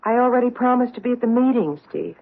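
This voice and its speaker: An actress playing a guarded, proper married society woman with an American accent, brisk and defensive in a radio mystery drama. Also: on the high side, creaky. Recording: muffled narrow-band old radio broadcast.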